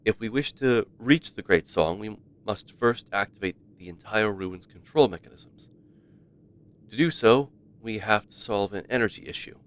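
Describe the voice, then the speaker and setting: Low, formal scholarly ruins researcher played by a man. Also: natural voice. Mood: explanatory. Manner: formal, explanatory, measured. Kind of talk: read-aloud game dialogue.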